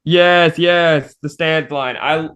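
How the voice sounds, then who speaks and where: A man talks informally in a podcast discussion. His voice sits a little high for a man, and he speaks emphatically, circling back to repeat phrases. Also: steady tone, slow pace, fairly bright tone, smooth. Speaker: fandub voice actor on a team podcast. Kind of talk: casual chat.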